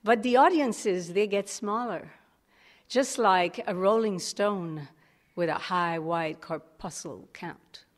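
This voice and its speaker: A woman's voice: medium pitch, takes it slowly. A woman speaking and reciting a poem informally at a live tribute event.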